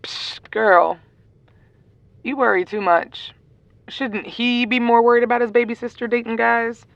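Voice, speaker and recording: A woman plays a casual, reassuring, teasing friend in an audio drama. Her mid-pitched voice has a clear creak. The sound is close, dry and a bit dull. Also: fairly even intonation, unhurried, no room sound. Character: laid-back, teasing, reassuring female friend. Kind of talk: audio roleplay acting.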